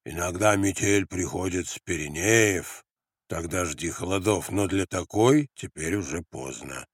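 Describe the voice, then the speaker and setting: A man voicing a sullen, sly, drunken guerrilla in an audiobook, talking slowly and weightily. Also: sits low, slightly rough, no echo, studio quality, heavily acted. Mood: weighty, slow. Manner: slow, weighty, didactic.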